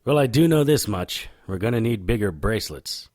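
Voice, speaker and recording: An actor playing a cocky, stubborn occult investigator in an audio drama, blunt and sardonic. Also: male voice, on the low side, expressive, fairly quick, darkish tone, dry recording, studio quality, natural voice. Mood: cocky, insistent. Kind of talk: audio drama dialogue with first-person narration.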